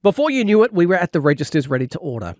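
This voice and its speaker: A man's voice: high voice, big pitch swings, very fast, a little mellow, no echo, studio quality. A man reading a comic first-person audiobook as a wry, exaggerating storyteller. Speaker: audiobook narrator. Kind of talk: comedic scripted narration.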